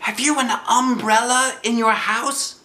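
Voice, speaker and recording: Storybook toy bear: very high voice, earnest, fretful, ponderous and slow, slightly silly. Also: male voice, steady tone, pushed and bright, roomy sound, exaggerated voice. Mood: conspiratorial, earnest. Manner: ponderous, solemn, drawn-out phrasing. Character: earnest, anxious, silly old honey-loving toy bear. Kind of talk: children's storybook read-aloud.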